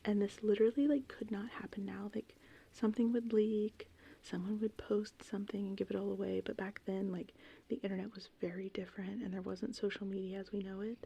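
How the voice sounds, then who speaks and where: A woman rambling casually and informally in an ASMR style, with a soft, breathy voice that often slips into a whisper. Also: mid-range voice, brisk, few pauses. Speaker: ASMR host. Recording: steady rain and thunder ambience. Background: gentle rain and thunderstorm sounds.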